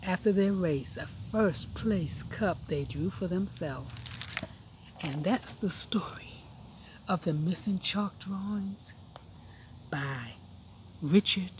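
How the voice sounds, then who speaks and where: A woman reading a children's picture book aloud, expressively and with deliberate emphasis. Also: lowish voice, darkish tone, slight background noise. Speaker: storybook reader. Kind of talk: children's read-aloud narration.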